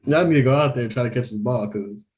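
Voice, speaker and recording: A young man with a mid-pitched voice talks through sports news in a loose, rambling way. He laughs often and leans on casual fillers as he chats with his co-host. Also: quick pace, a little mellow. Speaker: podcast co-host. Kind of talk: casual chat.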